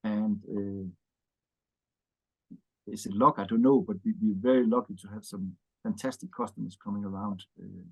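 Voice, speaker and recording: A man's voice: fairly low pitch, fairly even intonation, moderate pace, vocal fry, breathy edge, poor audio. A man in his fifties from Denmark with a Danish accent, a guest in a casual interview, rambling with frequent fillers and "yeah" tags. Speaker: interview guest, wine bar owner.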